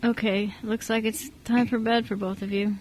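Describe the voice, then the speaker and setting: A woman playing a kind, soft-spoken adoptive father, hesitant and reassuring, in an amateur radio drama. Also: on the high side, flat delivery, moderate pace, slight background noise, natural voice, low-quality recording. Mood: warm, reassuring. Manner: hesitant, reassuring, mild stammer.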